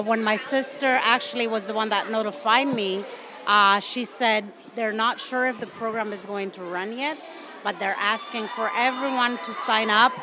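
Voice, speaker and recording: A middle-aged woman from El Salvador, a parent answering interview questions informally and frankly. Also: a little high. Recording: noisy outdoor crowd recording.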